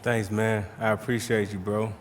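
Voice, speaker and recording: A man's voice: fairly low pitch, little pitch movement, moderate pace, soft background music, natural voice. A man playing a humble, grateful young basketball player in a video game cutscene, casual and slangy. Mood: wistful gratitude.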